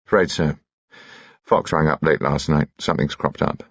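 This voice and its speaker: A man's voice: a bass voice, fairly even intonation, moderate pace, breathy edge, dry recording, muffled recording, exaggerated voice. A man voicing a reserved, dry-humored male detective inspector. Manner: dry, exasperated.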